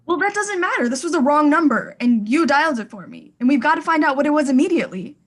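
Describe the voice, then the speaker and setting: A woman's voice: high-pitched, lively intonation, fast. A woman acting in a radio thriller, playing a fretful, imperious well-to-do wife who is indignant, demanding and stammers in agitation. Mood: indignant, insistent.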